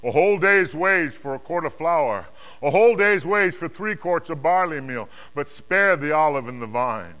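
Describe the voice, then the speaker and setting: Man acting thunderous heavenly creature, loud, raised pitch, commanding, forceful proclamations, dramatic scripture. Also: expressive, normal speed, low-quality recording.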